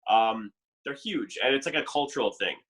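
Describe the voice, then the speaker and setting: A man's voice: mid-range voice, animated, moderate pace, fairly bright tone. A young man from Canada talking casually on a podcast, rambling with many fillers and "like". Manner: chatty, lots of fillers and "like". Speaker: dating show contestant.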